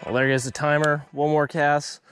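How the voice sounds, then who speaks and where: A man's voice: a little high, level tone, moderate pace, a bit bright, faint music behind. A young man, chatting upbeat to viewers while fishing from a small boat outdoors, recorded on an action camera. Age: young adult. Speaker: fishing vlogger. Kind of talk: casual vlog commentary.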